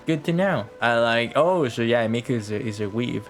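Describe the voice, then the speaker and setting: A man's voice: medium pitch, animated, moderate pace, faint music behind. A man giving casual livestream game commentary, reacting and talking back to the game as he plays. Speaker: game streamer.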